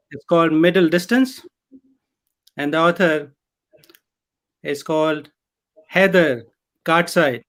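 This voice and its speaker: A man hosting a program, introducing a guest author in a slow, earnest, admiring way. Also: high-pitched, a bit bright, low-quality recording. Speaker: host.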